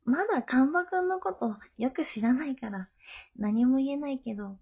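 A woman playing a gentle, studious middle-school girl in an amateur voice drama, friendly and polite. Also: high voice, moderate pace, husky, muffled recording. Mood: friendly, kind.

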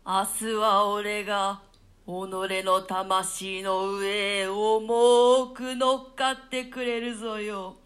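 A woman voicing the ghost of a drowned duke in a radio play reading, speaking slowly and deliberately. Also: flat delivery, a bit bright, soft background music. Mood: grim. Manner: slow, low, deliberate delivery. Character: ghost of a drowned male duke, grim and cursing.

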